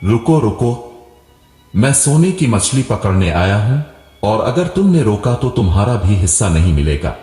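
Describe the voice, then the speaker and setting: A man's voice: lowish voice, big pitch swings, a little slow, mellow. A man voicing a foolish, overconfident young village man who philosophizes earnestly, in a storybook tale. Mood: pleased, bold. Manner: earnest, pompous. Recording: roomy echo with long reverb, music behind.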